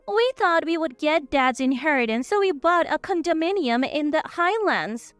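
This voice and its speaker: A very high-pitched, greedy sister-in-law from a narrated family drama, acted out by a woman. She is whiny and indignant, demanding her share. The sound is dry, with faint music behind her. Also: lively intonation, moderate pace, dry recording. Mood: pleading. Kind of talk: acted dialogue in narrated story.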